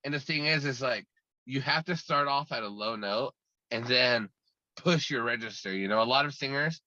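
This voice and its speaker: A man's voice: medium pitch, moderate pace, bright, forceful tone, highs cut off, slight background noise. A man talking casually as an interview guest, rambling and restarting his sentences often. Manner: talkative and rambling, many restarts. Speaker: guest musician.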